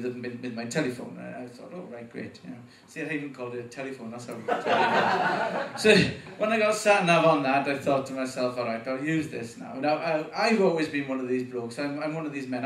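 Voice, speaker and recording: A man with a Welsh accent performing a stand-up comedy set, getting animated at punchlines. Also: a little fast, few pauses, distant mic. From Wales. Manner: animated, voice jumps up in pitch at punchlines. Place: comedy club stage. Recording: echoey room, voice from afar.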